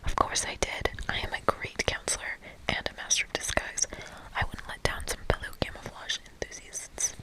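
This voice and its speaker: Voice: moderate pace, sharp tone, natural voice, poor audio. Whispering, pompous camp counselor played by a game reader. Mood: indignant, boastful. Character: proud, earnest camp counselor, master of disguise.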